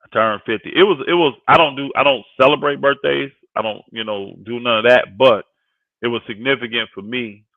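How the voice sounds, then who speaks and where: A man's voice: medium pitch, a little fast, dry recording. Phone-like voice of a fifty-year-old man. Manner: conversational, emphatic, repeats phrases for emphasis. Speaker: guest co-host and sports commentator on a live panel. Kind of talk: casual fan sports talk on a livestream. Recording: thin phone-like audio.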